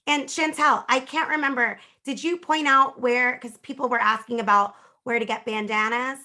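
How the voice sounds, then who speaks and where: A woman's voice: on the high side, steady tone, normal speed, pushed and bright. A woman hosting a webinar over a video call, moderating informally and introducing speakers.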